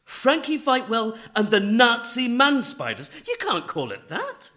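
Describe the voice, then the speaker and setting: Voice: mid-range voice, wildly swooping pitch, measured pace, sharp tone, full character voice. An actor playing an anxious, chummy publishing agent in a radio play, emphatic and placating. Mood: alarmed, placating.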